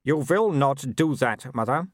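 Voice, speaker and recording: Man playing a young werewolf noble, arrogant, calm, coldly menacing, lively tone, dry sound. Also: medium pitch, unhurried, no room sound, pitched above the performer's own voice. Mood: cool.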